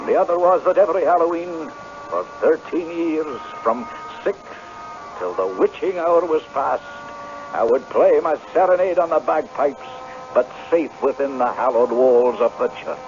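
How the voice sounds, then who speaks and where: Nervy scottish piper, high voice swooping all over, muffled old radio drama. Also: male voice. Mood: storytelling. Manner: theatrical, exclamatory. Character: Steenie, superstitious whisky-loving Scottish piper, anxious and excitable.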